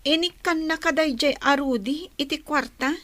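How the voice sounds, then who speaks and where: Very high-voiced Filipino teenage daughter. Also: female voice, moderate pace, a bit bright. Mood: upset, questioning. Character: earnest, questioning teenage daughter, worried and dutiful. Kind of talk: Ilocano radio drama acting.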